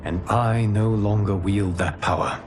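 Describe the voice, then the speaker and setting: A man acting as an earnest guardian of a fantasy realm. His voice sits low and his lines are formal and measured, like a game cutscene, with prominent music behind them. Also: fairly even intonation, close to an everyday voice. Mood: grave, resolute. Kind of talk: dramatic video game acting.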